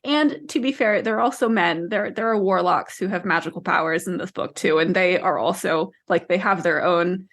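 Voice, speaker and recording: A woman's voice: lowish voice, moderate pace, hardly any pauses, a bit bright. A woman in her thirties co-hosting a podcast, talking casually about books in a conversational way. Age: 30s. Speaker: podcast co-host. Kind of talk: casual book discussion chat.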